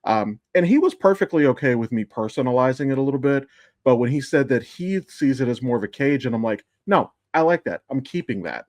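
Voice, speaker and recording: A man with a mid-pitched voice talks casually on a podcast. He tells his story in a loose, digressive way, circling off and back again. The sound is dry, with little room in it. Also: animated, brisk, a little mellow, dry recording. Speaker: podcast co-host and amateur voice actor. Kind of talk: casual chat.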